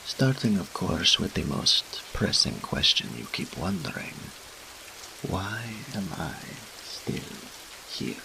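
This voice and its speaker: A man playing a deep, creaky, smug incubus demon. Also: sing-song, slow pace, slight background noise, low-quality recording. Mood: haughty, drawling. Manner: teasing, haughty, purring, theatrical drawl. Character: smug, seductive, theatrical male demon incubus. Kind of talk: scripted roleplay audio drama monologue.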